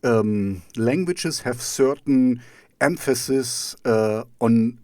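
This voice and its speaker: A man's voice: medium pitch, extremely slow, darkish tone, no room sound, clean studio sound. A man from East Germany with a German accent, a native German speaker, hosting a casual interview in a rambling, hesitant way as he circles around his questions. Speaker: radio talk show host.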